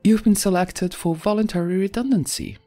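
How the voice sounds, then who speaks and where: A woman's voice: mid-range voice, a little fast, faint music behind, pitched above the performer's own voice, lightly acted. Woman putting on a coolly detached government consul. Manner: even, polite, detached official tone. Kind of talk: audio story acting.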